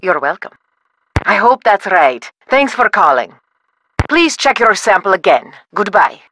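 A woman's voice: mid-range voice, very animated, unhurried, noisy background. A woman voicing a polite, patient wood expert on a phone helpline in a video game, explaining step by step.

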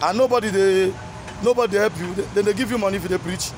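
A man's voice: sits high, fast talker. A man with a Nigerian accent asking short, informal interview questions and repeating answers back as questions. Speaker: interviewer behind the camera. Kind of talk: informal street interview in Pidgin. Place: outdoors by a street. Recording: noisy outdoor phone video.

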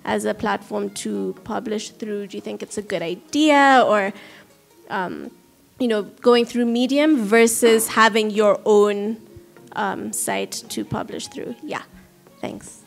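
A woman's voice: medium pitch, steady tone, slow, gravelly, soft background music. A young woman from Kenya, an audience member asking a rambling, hesitant question at a panel into a handheld mic. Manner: hesitant, many ums, rambling. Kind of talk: casual spoken question at a panel. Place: large hall with audience.

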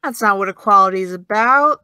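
A woman's voice: medium pitch, brisk, bright, forceful tone, clean tone, no echo, studio quality. A young actress playing a sarcastic young woman who teases and needles in an audio drama. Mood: mocking. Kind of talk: audio drama dialogue of a video call.

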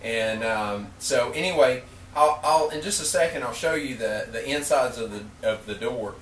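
A man in his thirties casually narrating a woodworking how-to in his workshop, relaxed and explanatory, with frequent fillers and restarts. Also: mid-range voice, normal speed, a little hiss or hum. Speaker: woodworking vlogger. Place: workshop shed.

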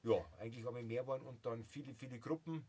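A man's voice: medium pitch, fast, warm tone, breathy edge. A man around fifty with a Bavarian accent, a musician chatting jovially in an interview in a small home studio, full of fillers and restarts. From Bavaria, Germany. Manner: jovial, laughs often, many fillers and restarts. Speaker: musician guest. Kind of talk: casual interview chat.

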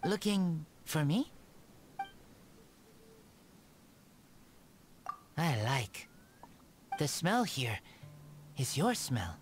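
A woman playing a shy, gentle, loyal feral boy raised by wolves in a video game, speaking in halting broken sentences. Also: deep voice, big pitch swings, heavily acted. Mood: halting, content. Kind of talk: video game character dialogue. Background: game background music.

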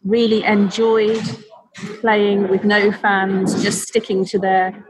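A woman's voice: medium pitch, little pitch movement, takes it slowly, husky, no echo, low-quality recording. Woman asking a tentative, careful question. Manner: tentative, thoughtful, searching for words. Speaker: reporter. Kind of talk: press conference question.

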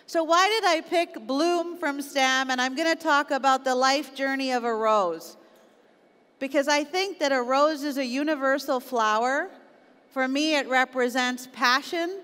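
Canadian woman doing a keynote, high clean smooth voice, stresses her key words, big echoey hall. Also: fairly bright tone. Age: middle-aged. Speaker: conference keynote speaker, business executive. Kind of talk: motivational keynote talk. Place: on stage in a large auditorium. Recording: echoey large hall, long reverb.

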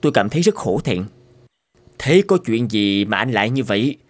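A man voicing troubled, thoughtful doctors and professors in a cartoon drama, formal and measured. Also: lowish voice, animated. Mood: earnest, concerned. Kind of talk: animated cartoon drama dubbing.

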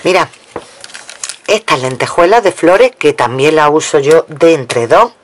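A woman's voice: low-pitched, animated, measured pace. A woman from Spain casually showing a craft-supply haul at her table, chatting to viewers and counting items aloud. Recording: noisy home recording with crinkling packets.

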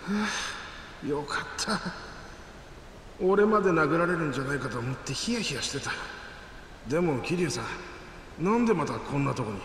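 A man's voice: on the high side, sing-song, moderate pace, pauses often, a bit bright. A male actor playing a wary but friendly sewer-dwelling informant in a video game, talking casually and a little nervously. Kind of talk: dramatic video game acting. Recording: very echoey underground space, faint noise.

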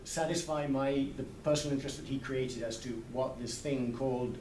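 A man's voice: medium pitch, fairly even intonation, brisk, darkish tone. Older man, thoughtful hesitant speech, book club discussion, distant mic, roomy echo. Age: 60s. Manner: thoughtful, hesitant, searching for words. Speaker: literary translator. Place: large indoor hall, small group seated in circle. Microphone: camera a few metres away. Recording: echoey, distant camera mic, background noise.

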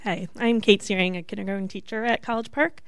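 A woman presenting as a kindergarten teacher at a board meeting, measured and even. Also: medium pitch, smooth, no room sound, studio quality. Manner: even, measured, with ums and restarts. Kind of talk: prepared presentation.

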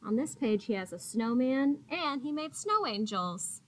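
A woman teacher reads aloud to small children. Her voice sits a little high and she takes her time, stretching words expressively as she tells the story. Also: expressive, measured pace, fairly bright tone, a little hiss or hum, rough recording. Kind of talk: picture-book read-aloud for young children. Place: small indoor classroom. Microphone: laptop or webcam at arm's length.

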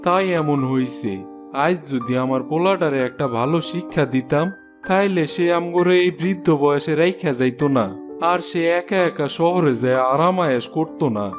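An actor playing a poor, weary, pleading old village husband in a cartoon, in a rural Bangladeshi Bengali dialect. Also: male voice, on the high side, moderate pace, over music, close to an everyday voice. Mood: sorrowful. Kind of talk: cartoon voice acting.

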